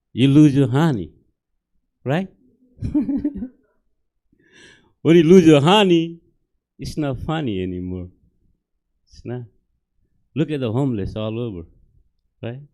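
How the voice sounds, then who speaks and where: A middle-aged man from Nepal with a Nepali accent works a small theater crowd in plain, broken English. His pitch sits mid-range, he keeps checking in with the room, and he speaks into a stage mic. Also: a little mellow. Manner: simple broken English, chatty, keeps asking the crowd "right?". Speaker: stand-up comedian. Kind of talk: stand-up comedy set.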